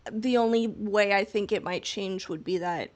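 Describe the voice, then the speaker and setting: A woman's voice: medium pitch, normal speed, a little mellow. A woman podcast co-host chatting casually in a playful, informal way about something she follows as a fan.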